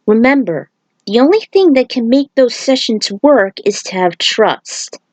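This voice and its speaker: A woman voicing an earnest male shaman warrior, a patient mentor who explains things in a measured, serious way. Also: on the high side, animated, darkish tone, no room sound, voice pushed up. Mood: explaining.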